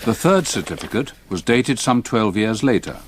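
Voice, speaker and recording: An actor playing a grave, reflective older English gentleman, narrating his story in measured literary style in a radio drama. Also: fairly low pitch, animated. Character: older solicitor recalling his haunted youth, grave, reflective.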